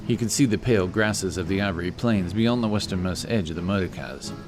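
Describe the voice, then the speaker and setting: A man with a very low voice narrates a fantasy tale in scripted audiobook style. Clear music plays behind him throughout. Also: a little fast.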